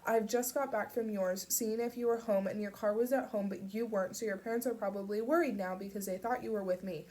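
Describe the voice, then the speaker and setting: A woman's voice: mid-range voice, normal speed, darkish tone, close to an everyday voice, rough recording. A woman voicing a young man who is angry at first, then worried and firm.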